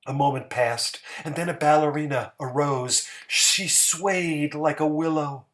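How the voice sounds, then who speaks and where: A man giving a dramatic, scripted story reading as narrator, measured and emphatic. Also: fairly high pitch, fairly bright tone. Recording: music bed under the voice.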